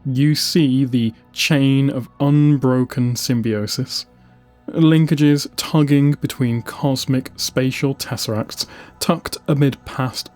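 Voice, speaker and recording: A man giving a solemn, deliberate audiobook narration of science-fiction lore, with dramatic pauses between short phrases. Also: medium pitch, a little mellow. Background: music.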